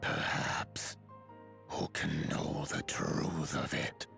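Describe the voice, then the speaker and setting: An actor playing a solemn giant elder of a mountain people in a fantasy game, speaking in stately, formal, archaic phrases. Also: male voice, fairly even intonation, extremely slow, a bit bright, soft background music, full character voice. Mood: grave. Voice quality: deep, gravelly, breathy at phrase ends. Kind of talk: scripted fantasy game dialogue.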